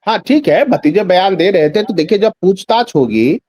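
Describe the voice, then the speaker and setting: A man's voice: high-pitched, animated, normal speed, a little mellow. A man giving extended political commentary as a guest in a news discussion, emphatic, repeating phrases and posing rhetorical questions.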